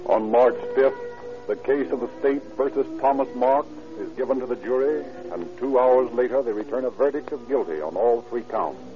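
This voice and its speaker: A man's voice: mid-range voice, moderate pace, music in the background. A man narrating and announcing in a formal, emphatic 1930s radio style. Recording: noisy, muffled 1930s radio recording.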